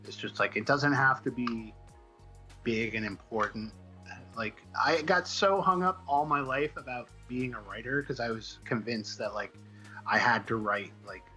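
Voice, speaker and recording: Guy chatting in an interview, really bright sharp voice, halting and self-deprecating, bit rambly, music behind him. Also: mid-range voice, low-quality recording. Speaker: comic book writer being interviewed. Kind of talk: casual chat, interview answers.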